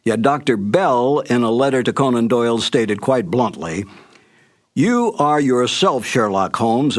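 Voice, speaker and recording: A man delivers scripted narration in a medium-pitched voice. His delivery is formal and measured, as a narrator introducing a program. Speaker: narrator introducing a radio drama.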